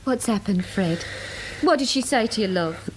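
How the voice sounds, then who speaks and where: An actress playing a loyal, no-nonsense English chip shop worker in a radio drama, plain-spoken and affectionate. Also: female voice, mid-range voice, animated, normal speed, slight background noise, natural voice, low-quality recording. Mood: concerned, firm. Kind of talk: British radio drama acting.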